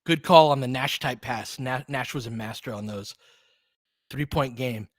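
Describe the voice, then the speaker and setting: Male fan commentator, mid-pitched, dry recording. Clipped live hoops play-by-play, openly partisan, slangy. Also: a little slow, dry recording.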